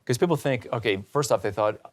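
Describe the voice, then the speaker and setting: A man's voice: medium pitch, fast talker. A man hosting a conversational interview, speaking in an enthusiastic, emphatic and affirming way. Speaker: talk show host.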